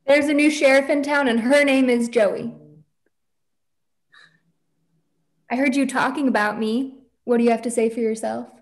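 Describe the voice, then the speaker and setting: A woman's voice: a little high, steady tone, a little fast, natural voice. A young woman playing an earnest, defiant girl who dreams of being a cowboy, in a script table read. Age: young adult. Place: room at home, on video call.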